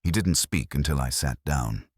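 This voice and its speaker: Very deep-voiced man narrating detective fiction. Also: animated, moderate pace, slightly rough, no room sound, studio quality. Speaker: audiobook narrator. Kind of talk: scripted first-person detective narration.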